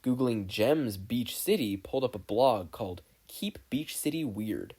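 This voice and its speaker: Husky, mellow, expressive story narrator. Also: mid-range voice, measured pace. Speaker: podfic narrator.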